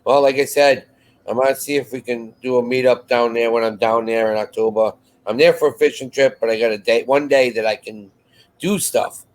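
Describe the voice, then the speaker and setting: A man's voice: medium pitch, steady tone, brisk. A man chatting casually as co-host of a live show, rambling and restarting his phrases as he goes. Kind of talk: casual chat.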